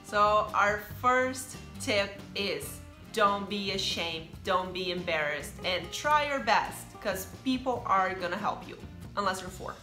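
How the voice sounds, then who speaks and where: A woman's voice: medium pitch, animated, takes it slowly, sharp tone. A young Brazilian woman with a Brazilian accent, chatting casually as a vlogger, explaining things with fillers. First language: Brazilian Portuguese. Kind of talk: casual chat. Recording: echoey room with faint music.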